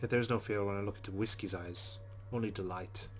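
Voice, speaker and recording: A man's voice: lowish voice, fast talker, lightly acted. A man reading the first-person thoughts of a rueful young Irish woman in a visual novel, with a put-on Irish accent.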